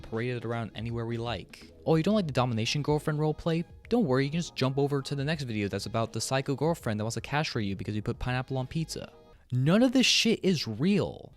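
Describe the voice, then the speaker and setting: A man delivering opinionated scripted commentary as a video host, bluntly, with casual slang and swearing. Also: mid-range voice.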